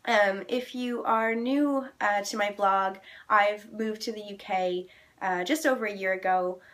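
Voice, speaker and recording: A woman's voice: medium pitch, steady tone, unhurried, fairly bright tone. A young woman from Canada with a Canadian English accent, chatting casually to camera in a friendly way with frequent ums. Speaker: vlogger.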